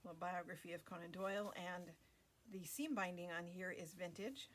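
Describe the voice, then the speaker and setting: Female craft host, casual unhurried narration, slightly low pitch, lots of pauses. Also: slight background noise. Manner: relaxed, many fillers and pauses. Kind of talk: casual flip-through narration.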